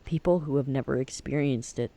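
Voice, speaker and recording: A man's voice: medium pitch, fairly quick, vocal fry, mellow, natural voice. Man acting a friendly, earnest teenage boy. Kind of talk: audio drama acting.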